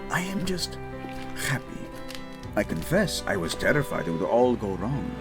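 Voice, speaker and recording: A man's voice: medium pitch, very animated, moderate pace. A man playing a proud, devoted nobleman husband in a romantic audio drama, speaking formally and dramatically with tender endearments. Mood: tender, relieved. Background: background music.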